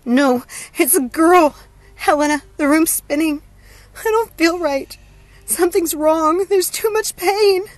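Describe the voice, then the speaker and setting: Brief: female narrator as pregnant woman in audiobook drama, terrified, gasping through pain, pitched very high. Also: measured pace, hardly any pauses, sharp tone, dry recording, full character voice. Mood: pleading, pained. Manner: panting, crying out through gritted teeth. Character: pregnant younger sister in agony and terror.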